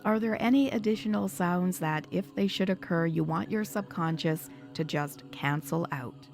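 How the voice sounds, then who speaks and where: A woman hypnotherapist leading a guided meditation in a soothing, measured, instructional manner. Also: fairly low pitch, a little mellow. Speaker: hypnotherapist guiding a session. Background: background music.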